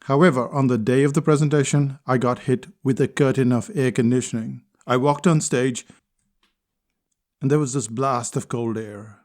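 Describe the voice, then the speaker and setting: Male speaker, mid-pitched, measured storytelling delivery, reflective spoken-essay tone. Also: fairly even intonation, darkish tone. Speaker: speaker and consultant.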